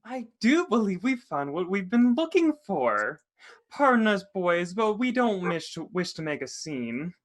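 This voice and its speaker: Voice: medium pitch, big pitch swings, a little slow. A performer playing a silky mock-polite henchman. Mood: silky menacing. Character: smooth-talking, menacing henchman. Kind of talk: livestream script reading.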